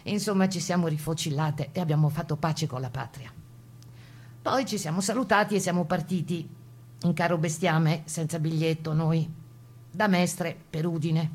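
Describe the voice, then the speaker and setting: A woman's voice: deep voice, fairly even intonation, normal speed. Actress as a proud, emphatic partisan woman. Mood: reflective narration. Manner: emphatic storytelling, acting out quoted exchanges. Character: proud, indignant woman partisan recounting deportation and homecoming. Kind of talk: theatrical first-person testimony monologue.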